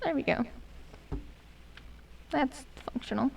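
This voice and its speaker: Hoarse, gravelly young woman gamer. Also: high voice, takes it slowly, a little mellow, distant mic, low-quality recording. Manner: chatty, self-mocking, hums and mutters to herself. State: losing her voice, hoarse. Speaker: gaming streamer. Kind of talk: casual gameplay commentary.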